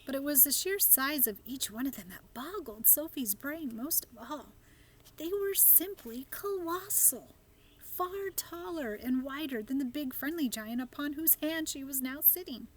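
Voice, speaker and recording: High-voiced woman reading a children's book. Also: lively intonation, measured pace, a little hiss or hum, low-quality recording. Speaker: storybook reader. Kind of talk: children's book read-aloud narration.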